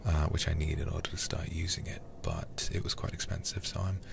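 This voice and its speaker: Deep guy doing asmr horror game stream, whispery and croaky, curses a lot. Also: flat delivery, brisk. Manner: hushed, often whispering, swears freely. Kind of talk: ASMR game livestream commentary.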